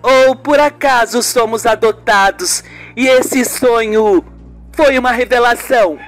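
A woman's voice: fairly high pitch, a little slow, soft background music, voice pushed up, natural voice. A woman voicing an emotional young niece with dramatic pleading in a radio soap. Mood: wistful, earnest. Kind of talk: amateur radio soap acting.

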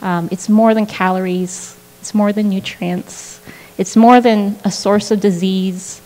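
A woman's voice: mid-range voice, steady tone, unhurried, mellow. A woman in her thirties giving an earnest, reflective acceptance speech at a podium, with frequent restarts and fillers. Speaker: prize winner giving a speech. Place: large indoor event hall, at a podium. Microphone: podium microphone on a stand. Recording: long reverb of a large hall.

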